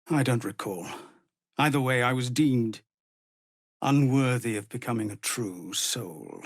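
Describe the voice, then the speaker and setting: Grave refugee leader, video game drama, unhurried, lively pitch swings, earnest and courteous, dry close recording. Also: male voice, no room sound. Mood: bitter, sorrowful. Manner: earnest, measured, formal phrasing.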